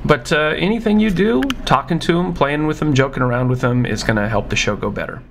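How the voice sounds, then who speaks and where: A man's voice: a little high, brisk, creaky, fairly bright tone. A man presenting as a puppeteer, talking casually and reflectively as he explains things in a conversational way.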